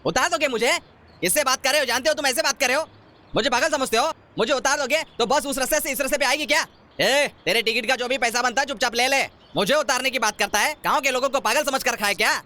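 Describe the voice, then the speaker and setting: A man acts out an argumentative villager in a comedy sketch. His voice is very high and piercingly bright, and he talks fast. He is indignant and hectoring, repeating his complaints. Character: belligerent, argumentative village man riding a bus. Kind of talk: broad film-style comedy sketch acting.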